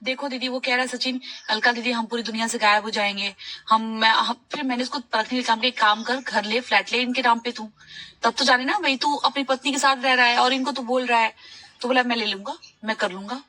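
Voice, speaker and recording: A woman on a phone call, talking in a gossipy, casual way with a rather high, very bright voice. She is insistent and tends to say phrases over again. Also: fairly even intonation, fairly quick. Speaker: caller on a leaked phone conversation. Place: private phone call, recorded.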